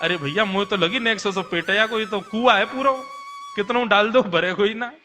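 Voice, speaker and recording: A man's voice: sits high, normal speed, pushed and bright, faint music behind. A man voicing a doting, flustered housewife in a devotional story, fond and fussing in a folksy way. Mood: exasperated. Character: doting, flustered motherly housewife feeding a boy. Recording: long hall reverb.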